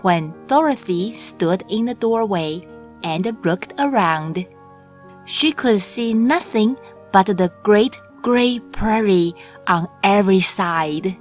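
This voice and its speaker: A woman narrating a children's storybook from a script in a measured, deliberate read-aloud delivery. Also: mid-range voice, expressive. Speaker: audiobook narrator. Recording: music bed under the voice. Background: background music.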